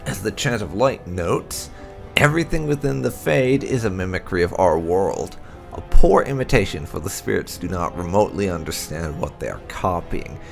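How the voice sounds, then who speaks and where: Expressive man reading fantasy lore, gravelly edge. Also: low voice, normal speed, faint music behind. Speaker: gaming channel narrator. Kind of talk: dramatic reading of game lore aloud.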